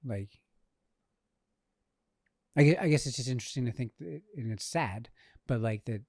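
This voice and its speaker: A man's voice: mid-range voice, normal speed, gravelly, a little mellow, dry recording, clean studio sound. A man co-hosting a faith podcast, talking earnestly and hesitantly, often restarting his sentences. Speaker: podcast co-host. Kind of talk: casual podcast conversation.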